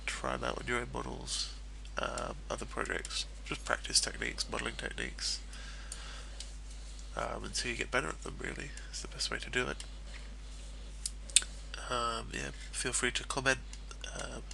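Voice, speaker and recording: A man with a cold, sounding croaky, thinking aloud through a software modeling tutorial with plenty of ums and hedging asides. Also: medium pitch, moderate pace, a little hiss or hum. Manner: relaxed, thinking aloud, many ums and sort ofs. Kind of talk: screen-recorded software tutorial.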